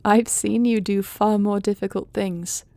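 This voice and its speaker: Woman voicing regal dragon queen, audio roleplay, tender, earnest, formal measured diction, gravelly fry, dry sound. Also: medium pitch, steady tone, mellow, no room sound. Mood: reassuring, stately. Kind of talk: scripted audio roleplay drama.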